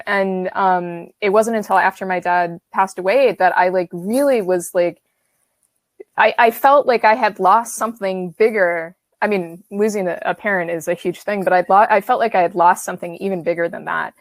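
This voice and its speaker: A woman's voice: mid-range voice, no room sound. Woman in her 30s from the midwest, rambly chat with lots of um and like, video call from a home studio, dry close sound. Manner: rambling, heavy with fillers and restarts. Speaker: author-illustrator on a panel. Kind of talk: casual conversation. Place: home studio room with brick wall. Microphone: video call webcam.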